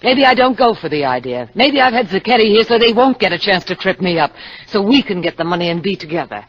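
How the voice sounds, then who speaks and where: An actress playing a cold, seductive, scheming murderess with intense melodrama in a 1950s radio drama. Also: female voice, mid-range voice, lively intonation, fast talker, natural voice. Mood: scheming, bitter. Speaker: actor. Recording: dull old radio recording.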